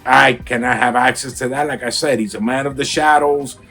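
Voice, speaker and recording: A raspy-voiced man with a cold, from Miami, hyping up a casual clothing unboxing for his viewers. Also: medium pitch, fairly even intonation, a little fast, pushed and bright, soft background music. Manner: hyped, slangy, talks straight to viewers. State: has a cold. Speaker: sneaker and clothing vlogger. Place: home room.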